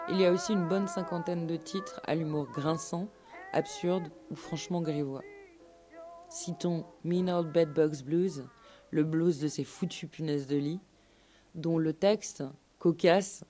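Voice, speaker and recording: A woman's voice: lowish voice, steady tone, measured pace, dark tone. A woman radio presenter reading a scripted documentary narration in a literary, storytelling way. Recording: live radio show with music. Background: music.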